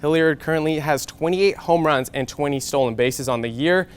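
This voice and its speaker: A young American man in his twenties anchoring a scripted TV sportscast with game highlights. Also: normal speed, clean tone. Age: 20s.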